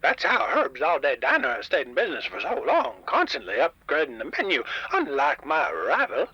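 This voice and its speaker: A man playing a crotchety but warm-hearted old diner owner with a grumpy, folksy way of talking, in a post-apocalyptic comedy radio drama. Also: a little high, huge pitch swings, moderate pace, dull audio, heavily acted. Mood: cantankerous. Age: older. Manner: grumpy, folksy, hesitant phrasing. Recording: roomy, band-limited radio sound.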